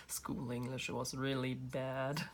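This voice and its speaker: A woman's voice: very deep voice, background noise. A woman in her thirties with a German accent, chatting casually to camera and laughing at herself often. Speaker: craft channel host.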